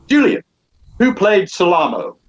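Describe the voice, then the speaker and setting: An actor playing a cruel, cold aristocratic Duke at a script table read, menacing and measured. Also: male voice, high voice, very animated, dull audio. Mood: storytelling.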